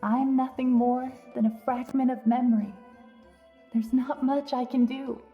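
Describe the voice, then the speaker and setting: Serene ghostly game spirit, high voice, very echoey, formal, soft music under it. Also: female voice, steady tone, a little slow, dull audio. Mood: serene, grave. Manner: composed, formal. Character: calm, measured female memory spirit of an old friend. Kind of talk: video game voice acting. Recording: long echoing reverb, faint music.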